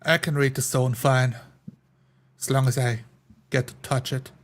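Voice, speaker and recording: A man voicing a gruff, taciturn dwarf in a tabletop game, raspy and hushed. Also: mid-range voice, steady tone, a little slow, lightly acted. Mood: gruff, subdued. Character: gruff, taciturn male dwarf stone-reader.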